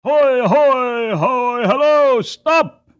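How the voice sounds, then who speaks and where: A man acts out a frantic gatekeeper from a storybook. He hollers urgent warnings in a strained, high voice pushed far above ordinary talk, loud and slightly muffled in the recording. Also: very slow, clear, resonant tone, exaggerated voice. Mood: alarmed, urgent. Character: frantic toll-gate man shouting warnings from afar.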